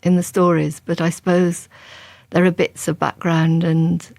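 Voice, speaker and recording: A woman's voice: on the low side, level tone, a little slow, gravelly, darkish tone, no echo. A woman from England, a crime writer, answering interview questions in a reflective, conversational way. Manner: reflective and conversational, with frequent restarts. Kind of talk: interview guest.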